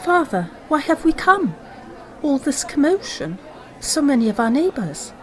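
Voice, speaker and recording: A woman's voice: high voice, big pitch swings, unhurried, some chatter behind, rough recording. An actress playing a grieving, devoted young woman who speaks earnestly and emotionally, in an old-time radio drama.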